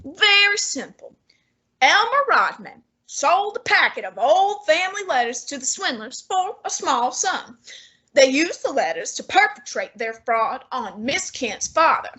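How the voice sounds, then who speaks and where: A woman playing a pompous male genius detective, using precise and measured diction in a student radio drama. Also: high-pitched, very animated, bright, forceful tone, full character voice, rough recording. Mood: deducing. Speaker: student actor.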